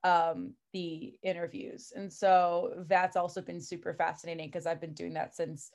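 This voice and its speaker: A woman's voice: fairly low pitch, little pitch movement, moderate pace, darkish tone. A woman from the Chicago area introducing herself as a project's creative consultant, chatty and laughing with many fillers. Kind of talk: casual group introduction.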